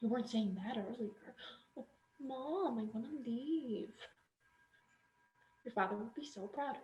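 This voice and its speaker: A woman's voice: on the high side, unhurried, muffled recording, slight background noise, natural voice. A young woman on stage playing a protective, confrontational, doting middle-aged mother in a student play. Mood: pleading, hurt. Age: young adult. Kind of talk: stage acting in a student one-act play. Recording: echoey stage room.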